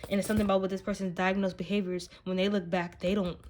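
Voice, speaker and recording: A woman's voice: mid-range voice, little pitch movement, fast talker, darkish tone, a little hiss or hum. A woman giving a casual spiritual card reading, speaking directly to the listener in an emphatic, slangy, profane way. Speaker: tarot card reader, channel host.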